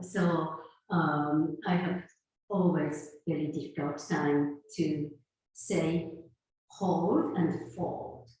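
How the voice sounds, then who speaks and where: A woman in her fifties who grew up in Japan and speaks English with a Japanese accent. She talks in an unhurried, halting way, with soft laughs between thoughts, as a guest in an interview. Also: fairly low pitch. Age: 50s. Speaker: guest, sound healer. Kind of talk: conversational interview. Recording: roomy echo, far from mic.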